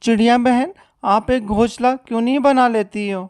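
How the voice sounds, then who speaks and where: A man's voice: very high-pitched, fairly even intonation, moderate pace, darkish tone, clean tone, dry recording, studio quality. A man voicing a kindly, protective male crow in a cartoon, speaking in an earnest, brotherly manner. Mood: concerned. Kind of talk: Hindi moral-story cartoon acting.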